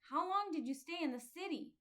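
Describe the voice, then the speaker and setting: A woman's voice: very high voice, animated, fairly quick, fairly bright tone, voice pushed up. A woman voicing an eager, friendly young pilgrim boy in a children's storybook, with an earnest manner. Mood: friendly, curious.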